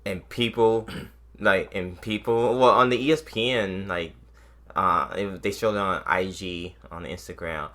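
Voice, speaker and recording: Male podcast co-host, low pitch with a bright, forceful edge; casual, slangy, swears freely, opinionated. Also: measured pace. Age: adult, a parent of a young daughter. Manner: slangy, profane, ranting and opinionated.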